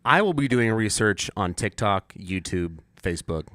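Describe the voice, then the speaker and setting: A man's voice: fairly low pitch, expressive, normal speed, bright, forceful tone, slight background noise. A man co-hosting a podcast with casual, dryly joking banter. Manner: dry, joking. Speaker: podcast co-host.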